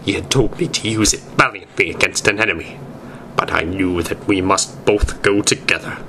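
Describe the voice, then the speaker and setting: A man's voice: low voice, normal speed, noisy background. A man narrating fan fiction aloud in a theatrical reading style.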